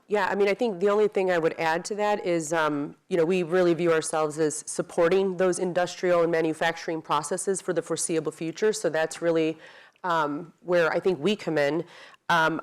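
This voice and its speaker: Low-voiced woman panelist, measured, many "um"s. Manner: measured, frequent "um" fillers. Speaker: panelist, utility executive. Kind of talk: panel discussion.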